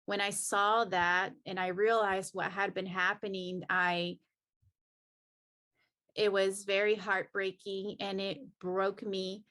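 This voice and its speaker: A woman's voice: mid-range voice, little pitch movement, slow pace, fairly bright tone. A woman from El Salvador, a podcast guest, earnestly and reflectively telling her personal story of healing. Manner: earnest and reflective, many restarts.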